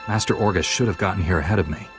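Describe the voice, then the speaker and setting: A man acts a calm young Jedi hero with a low, measured voice. He sounds dutiful and formal, with game music clearly behind him. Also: natural voice. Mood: calm, earnest. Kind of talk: video game cutscene acting.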